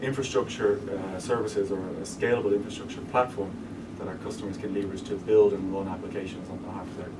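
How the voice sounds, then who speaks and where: An Irish man with a lowish voice explains his work as a guest speaker, steady and businesslike, often restarting mid-sentence. He sounds set back from the microphone, with a clear hum of room noise underneath. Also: quick pace, few pauses. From Ireland. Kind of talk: interview talk to a live audience. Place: event room with an audience. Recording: distant mic with room noise.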